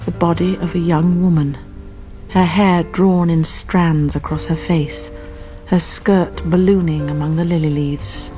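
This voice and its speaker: A composed academic woman narrating a murder mystery for radio. Her voice sits fairly low, measured and literary, with faint music behind it. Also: mellow. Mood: grave, hushed. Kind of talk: scripted first-person radio drama narration.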